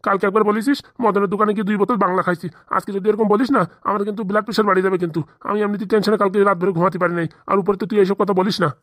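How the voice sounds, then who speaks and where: A man's voice: sits high, steady tone, racing, hardly any pauses, a bit bright, no echo. A man voicing a defensive, whining old father who lends money, in a comedy cartoon. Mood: defensive, grumbling. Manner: whining, defensive, blame-shifting.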